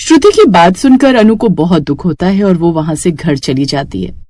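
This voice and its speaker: A woman's voice: fairly low pitch, animated, moderate pace, warm tone, no room sound, studio quality. A woman storyteller reading a scripted moral story for children.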